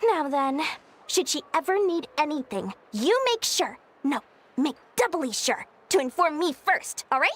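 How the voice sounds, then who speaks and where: A woman's voice: high-pitched, very animated, measured pace, a bit bright, a little hiss or hum, exaggerated voice. A woman playing a theatrical, wheedling businesswoman in a video game, effusive and dramatic with plenty of flourish. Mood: insistent. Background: music.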